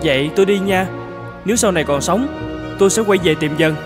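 An actor playing a good-hearted young man in an animated fairy tale, speaking earnestly and expressively over music. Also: high-pitched, brisk, natural voice. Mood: grateful. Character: good-hearted poor young man who becomes king. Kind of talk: cartoon fairy-tale voice acting.

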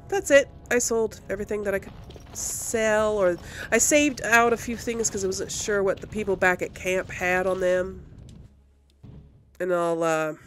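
A woman's voice: medium pitch, a little hiss or hum. A woman hosting a let's play, chatting casually and thinking aloud as she comments on her game.

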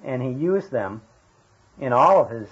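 A man with a husky, mid-pitched voice conducts a casual interview. His questions come hesitantly and trail off. The recording sounds dull and lo-fi. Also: very animated, unhurried.

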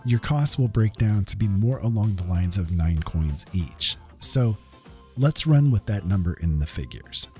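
A man's voice: on the low side, darkish tone. A man narrating a gameplay video in a semi-scripted, explanatory voice-over. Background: background music.

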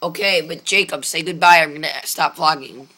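A teenage boy with a hoarse voice, chatting casually and jokingly into a webcam from his room, wearing a gaming headset. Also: fairly high pitch, a little fast, sharp tone. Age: teens. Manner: rambling, joking, tired. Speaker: vlogger. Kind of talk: casual chat. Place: small room at home, indoors.

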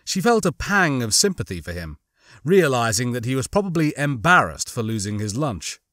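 A man narrating a suspense novel as an audiobook, with measured, dramatic storytelling. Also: mid-range voice, big pitch swings, a bit bright, dry recording, clean studio sound. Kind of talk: scripted dramatic fiction narration.

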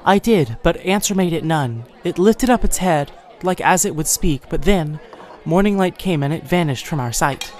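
A woman plays a loyal young scholar friend in a slightly low voice. The delivery is deferential and anxious, in archaic Shakespearean phrasing for a game podcast. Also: expressive, normal speed, a little mellow, a faint crowd, lightly acted. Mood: respectful.

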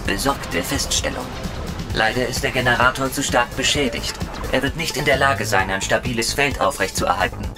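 An actor playing a loyal robot sidekick in a retro sci-fi audio drama, formal, precise and stilted. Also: male voice, medium pitch, normal speed, lightly acted. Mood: matter-of-fact. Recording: loud music and engine noise behind.

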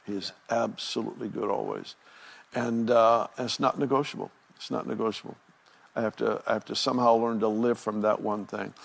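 Gravelly earnest man, reflective pastor. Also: fairly low pitch, brisk. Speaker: pastor interviewing a guest. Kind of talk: conversational ministry interview.

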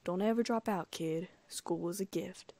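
A woman voicing a gentle, fatherly middle-aged male gardener in a read-aloud story. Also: on the low side, normal speed. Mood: kindly.

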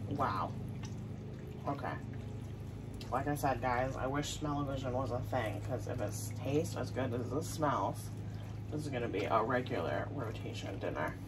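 A woman's voice: very deep voice, expressive, moderate pace, noisy background. A woman chatting casually to viewers as she cooks in her home kitchen, talking through each step as she works. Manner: chatty, talks while cooking, addresses viewers. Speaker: home cooking vlogger. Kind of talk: casual cooking vlog chat.

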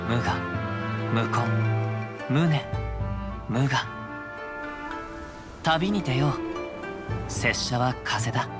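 A man voicing a calm young wandering samurai in a game, speaking in formal old-fashioned phrasing. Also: mid-range voice, very slow, pauses often, loud music behind the voice. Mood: calm reflective. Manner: formal archaic speech, gentle and reflective. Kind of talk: game voice acting.

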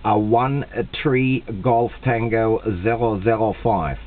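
A man with a German accent making a two-way radio contact, speaking slowly and deliberately and repeating himself. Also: lowish voice, fairly even intonation. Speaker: radio operator. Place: indoors at a radio set. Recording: tinny, band-limited camera capture at the radio.

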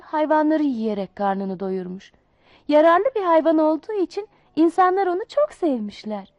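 A woman reading a children's fable aloud in an expressive, sing-song storybook manner. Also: high voice, dry recording, lo-fi sound.

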